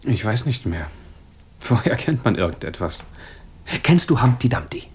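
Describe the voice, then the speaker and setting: Husky, musing intellectual man. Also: mid-range voice, very animated, moderate pace. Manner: musing, playful, pensive. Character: musing, teasing intellectual man, philosophical and world-weary. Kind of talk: intimate German radio drama dialogue.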